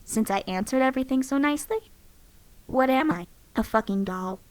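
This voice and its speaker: A woman's voice: high-pitched, normal speed, a little mellow, lightly acted. Woman voicing a sarcastic robot companion. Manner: dry, cutting sarcasm. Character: sarcastic female robot companion, blunt and cocky. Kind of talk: game companion voice lines.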